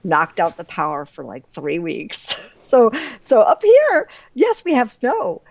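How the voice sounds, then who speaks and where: A middle-aged woman chatting casually as an author in an interview, animated and quick to laugh. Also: medium pitch, gravelly, dry recording, highs cut off. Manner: animated, laughs readily through her anecdotes.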